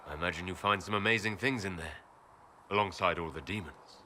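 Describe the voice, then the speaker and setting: A man's voice: low-pitched, a little fast, bright, forceful tone, a little hiss or hum. A man playing a curious, drily joking hero in a fantasy video game. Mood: curious, wry. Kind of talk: video game dialogue acting. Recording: faint game ambience behind.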